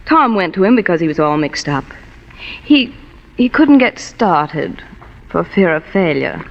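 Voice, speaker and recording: An actress playing a sultry, wealthy, teasing femme fatale in a 1940s noir radio drama, with a coy, polished manner. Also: female voice, lowish voice, big pitch swings, a little slow. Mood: mock distress. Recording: muffled, reverberant old radio broadcast.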